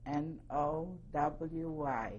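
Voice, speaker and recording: A woman in her seventies from Poland, giving oral history testimony slowly and carefully, spelling out names. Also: deep voice, a little mellow, highs cut off, slight background noise. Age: 70s. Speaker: interviewee.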